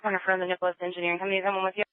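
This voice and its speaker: A man's voice: high voice, completely flat delivery, rapid-fire, clean tone, no room sound. A man working as a police dispatcher, repeating reports over the radio in a clipped, procedural way. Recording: tinny narrow-band police scanner audio.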